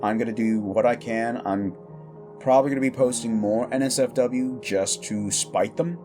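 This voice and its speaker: A man's voice: mid-range voice, normal speed. Rambling, informal man chatting over music. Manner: rambling, informal, self-interrupting. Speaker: audio roleplay creator and host. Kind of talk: casual chat to listeners.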